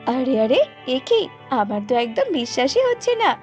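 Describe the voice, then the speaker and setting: Woman as a high-voiced motherly cartoon bird. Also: very animated, normal speed, darkish tone, faint music behind. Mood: amazed. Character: kind motherly female tailorbird, caring and helpful. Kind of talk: children's cartoon voice acting.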